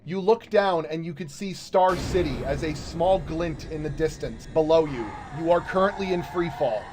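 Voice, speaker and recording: Higher-pitched man running a tabletop game. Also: moderate pace, background noise. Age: 30s. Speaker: game master, stream host. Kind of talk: improvised tabletop game narration and casual chat. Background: music.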